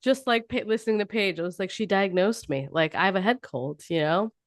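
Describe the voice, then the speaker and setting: A woman's voice: medium pitch, fairly quick. A woman co-hosting a casual podcast chat, talkative and laughing easily. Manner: chatty, laughing, animated. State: recovering from a head cold. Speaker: podcast co-host.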